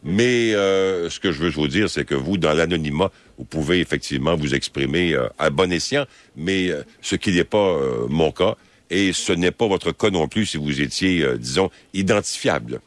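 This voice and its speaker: A man with a Quebec French accent hosting an overnight phone-in radio show, speaking slowly and hesitantly with drawn-out fillers. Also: deep voice, expressive, no echo. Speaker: overnight radio call-in host. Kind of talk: late-night phone-in talk radio. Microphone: studio microphone.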